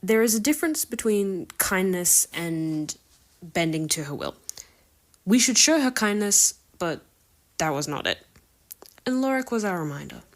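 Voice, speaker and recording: Woman, slightly low pitch, podcast listener, prepared spoken commentary. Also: expressive, a little slow. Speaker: listener sending in a voice submission.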